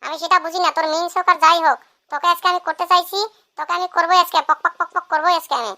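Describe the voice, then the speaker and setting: A performer voicing a grumbling, pestering drunkard husband in a comic cartoon, whining through a raised-pitch effect. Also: fairly even intonation, rapid-fire, pushed and bright, heavily acted. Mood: grumbling, insistent.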